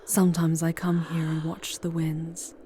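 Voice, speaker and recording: A seductive demon temptress, acted by a woman in an ASMR roleplay, speaking in a low, mellow voice. Her delivery is very slow, theatrical and breathy, often slipping into a whisper close to the microphone. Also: monotone, slight background noise. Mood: sultry, musing. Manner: theatrical, formal, drawn-out phrasing. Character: charming, seductive female demon guide through hell. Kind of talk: intimate ASMR roleplay, scripted monologue.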